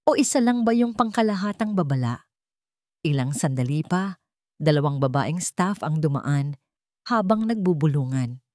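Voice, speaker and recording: A woman narrates a dramatic love story from a script. Her voice sits on the low side for a woman. The recording is dry, with little room sound. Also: lively intonation, moderate pace, darkish tone, no echo.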